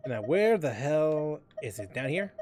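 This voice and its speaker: A man's voice: a little high, big pitch swings, a little slow, darkish tone. A man streaming a video game, rambling to himself with chuckles and repeated phrases as he plays. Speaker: gaming streamer. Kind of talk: casual gameplay commentary.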